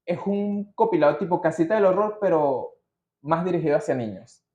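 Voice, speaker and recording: A man's voice: on the high side, animated, moderate pace, a little mellow. A man with a Venezuelan accent hosting a podcast, telling things casually from his notes in a slangy, digressive way. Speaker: podcast host. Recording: muffled, roomy podcast recording.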